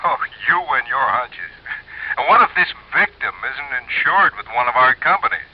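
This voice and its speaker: A man's voice: medium pitch, sing-song, normal speed. An American man playing a fussy, skeptical insurance office man in a 1950s radio drama, protesting and hesitant. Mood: exasperated, skeptical. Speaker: supporting actor. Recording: muffled, faintly noisy vintage radio recording.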